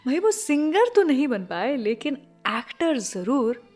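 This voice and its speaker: A woman's voice: high voice, big pitch swings, measured pace, a little mellow, soft background music. A woman hosting a radio show, presenting in a conversational way and speaking directly to listeners. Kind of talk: scripted radio show presenting with film music trivia.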